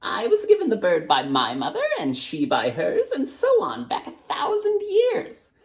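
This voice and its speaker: A woman voicing a blind old grandmother, gravelly and secretive, telling family lore in a drawn-out, teasing way. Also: mid-range voice, huge pitch swings, voice pushed up. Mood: proud, confiding.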